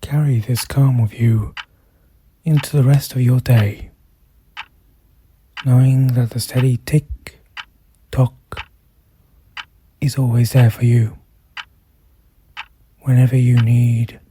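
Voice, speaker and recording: Very slow, mellow meditation guide. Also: medium pitch, steady tone, slight background noise. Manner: soothing, measured, with long pauses.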